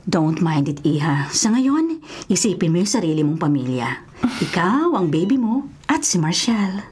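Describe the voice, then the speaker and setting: Caring Filipino mother, swooping pitch. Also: sing-song, a little slow. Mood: reassuring. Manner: motherly, gently emphatic. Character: caring wealthy mother, concerned and softening. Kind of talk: Tagalog radio drama acting. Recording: radio drama with music underneath.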